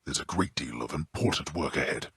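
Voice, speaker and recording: A haughty god-king of the underworld for a video game, with a very deep and heavily rasping voice. His speech is formal and dryly contemptuous, swinging widely in pitch as he passes judgment like a stern father. The sound is close and dry. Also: animated, brisk, fairly bright tone, no echo, exaggerated voice. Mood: scornful, irritated. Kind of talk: theatrical video game character dialogue.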